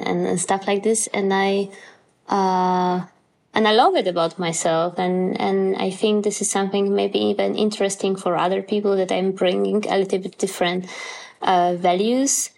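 A young woman from Poland with a Polish accent, a musician answering interview questions in English with many fillers and restarts. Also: medium pitch. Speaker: musician guest.

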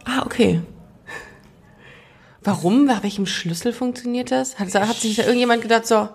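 Female podcast host, curious and quick to react, casual conversational tone. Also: a little high, gravelly, a little hiss or hum. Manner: curious, asks lots of questions, lively reactions. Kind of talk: casual interview chat.

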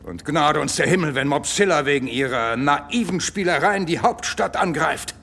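Sarcastic angry general, gravelly sharp voice, audio drama. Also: male voice, fairly high pitch, expressive, moderate pace, heavily acted. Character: scornful, irascible military general.

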